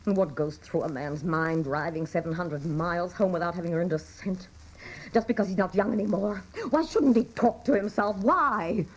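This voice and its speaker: Actress as a husky, pleading older wife and mother. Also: lowish voice, moderate pace, lightly acted, poor audio. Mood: fierce pleading. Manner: emphatic, pleading. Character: weary, fiercely loyal wife and mother pleading with sons. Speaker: actress in a radio drama. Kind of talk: dramatic radio play acting.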